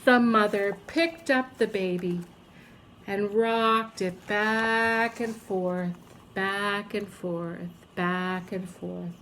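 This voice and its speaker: Woman, storybook narration, very slow pace, expressive, drawn-out repetitions, faint background noise. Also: mid-range voice. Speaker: teacher reading aloud to children.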